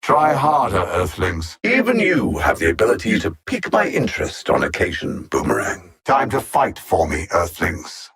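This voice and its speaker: An actor playing an arrogant alien supervillain in a video game, coldly menacing and theatrical, with haughty, condescending, precisely worded speech. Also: male voice, on the low side, huge pitch swings, normal speed, few pauses, a crowd in the background. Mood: imperious command. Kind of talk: scripted video game villain lines.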